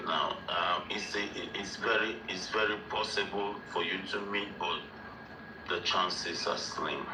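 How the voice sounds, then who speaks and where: Man in his forties, very low voice, deliberate and emphatic, roomy call audio with noise behind. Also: steady tone. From Nigeria. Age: 40s. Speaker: call-in guest. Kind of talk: casual group discussion. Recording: roomy distant online call with background noise.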